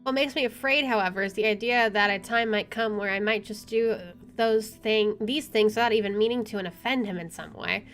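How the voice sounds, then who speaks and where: A woman's voice: mid-range voice, fairly quick, few pauses, fairly bright tone. A woman reading visual novel narration aloud as a game streamer, informally, with offhand asides. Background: game music.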